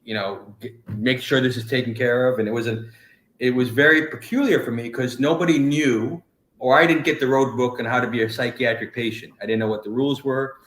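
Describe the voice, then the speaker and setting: A man's voice: mid-range voice, fairly even intonation, a bit bright, roomy sound. A middle-aged man who grew up in New York, with a New York accent, talking earnestly and with feeling as an interview guest on a video call. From Bronx, New York. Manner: earnest, emotional, rushing phrases. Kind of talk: interview conversation.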